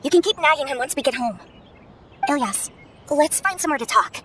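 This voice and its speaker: An actress playing a bossy yet caring young sister in a video game, brisk and familiar. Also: female voice, sits high, lively intonation, fairly bright tone, a little hiss or hum. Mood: protective, bossy. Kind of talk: scripted video game dialogue.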